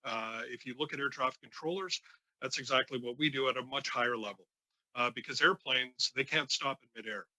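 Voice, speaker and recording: A man giving formal testimony as a union leader, answering haltingly with many false starts. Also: medium pitch, pushed and bright, dry recording, low-quality recording. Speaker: union president, committee witness.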